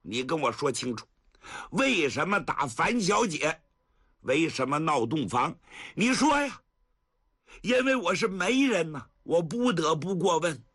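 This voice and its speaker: A man's voice: big pitch swings, unhurried, breathy edge, no room sound, studio quality, exaggerated voice. Man playing a fiery old general. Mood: indignant. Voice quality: shouting, sputtering, high and strained. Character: hot-tempered old man, a blustering grandfatherly general.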